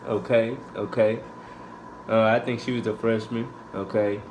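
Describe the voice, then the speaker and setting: A man, casually answering Q&A questions with his partner in a laid-back, halting way full of fillers. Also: lowish voice, level tone, a little mellow, slight background noise, poor audio. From Houston, Texas. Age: 20s. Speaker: co-host of a couple's channel. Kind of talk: casual Q&A chat.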